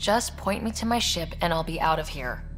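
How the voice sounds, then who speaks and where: An actress playing a determined young space explorer in a science fiction game, dry and challenging. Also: female voice, low-pitched, animated, fairly quick, a little hiss or hum. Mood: defiant. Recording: reverberant space, music behind.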